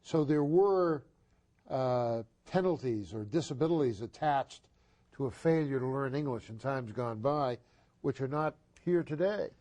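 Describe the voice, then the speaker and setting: Older man hosting, mellow tone. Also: medium pitch, lively intonation, measured pace. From Boston. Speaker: talk show host. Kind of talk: studio interview.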